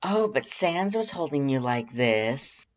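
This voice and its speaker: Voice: medium pitch, big pitch swings, slow pace, muffled recording, pitched above the performer's own voice. A narrator voicing a brash, smug female fish monster in a story reading, mocking and pushy. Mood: mocking, gleeful.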